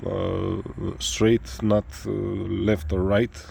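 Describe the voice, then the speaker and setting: Romanian guy explaining bike repair in english, really slow, lots of uhs, bit of motor noise behind. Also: deep voice, level tone, gravelly. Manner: halting, many fillers, searching for words. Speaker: motorcycle tutorial maker. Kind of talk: hesitant spoken tutorial.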